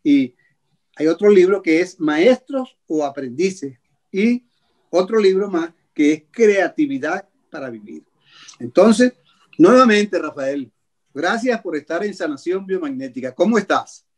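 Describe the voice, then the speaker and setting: An older man presents a health segment over a webcam from a small room at home. His voice sits a little high, and he speaks in a measured way, pausing between the items he lists. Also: lively intonation, darkish tone. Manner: measured, lists items slowly with many pauses. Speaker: host. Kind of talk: radio-style health show presenting. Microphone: video call webcam.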